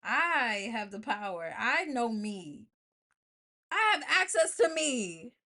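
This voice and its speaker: A woman's voice: on the high side, big pitch swings, slow, sharp tone. An American woman hosting an informal motivational podcast solo, speaking colloquially and emphatically, repeating phrases to drive them home. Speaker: podcast host.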